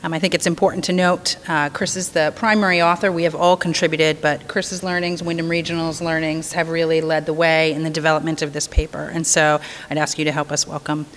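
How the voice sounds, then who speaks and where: A woman's voice: brisk, hardly any pauses. Woman hosting, with long hall reverb. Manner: relaxed, with many "uh"s. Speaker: event host. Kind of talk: introducing speakers. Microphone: podium microphone. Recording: reverberant hall with faint rumble.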